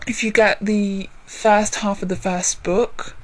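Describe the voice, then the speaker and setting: A woman vlogger giving a casual chatty update, deliberately speaking in a low voice, rambling with many ums and apologies. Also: flat delivery, poor audio. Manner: deliberately lowered voice.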